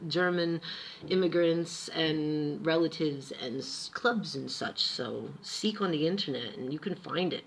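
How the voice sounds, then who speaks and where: A woman's voice: sits low, vocal fry, slight background noise, poor audio. An American woman from Pennsylvania, talking to camera in a chatty, emphatic vlog about her experiences abroad. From Pennsylvania, USA. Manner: chatty and emphatic, with lively asides. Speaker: vlogger. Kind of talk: casual storytelling monologue.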